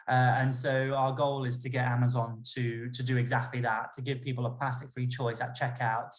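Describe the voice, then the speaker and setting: A man speaking for an ocean campaign group, measured and full of fillers. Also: mid-range voice, little pitch movement. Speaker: campaign spokesperson. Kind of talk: casual livestream talk.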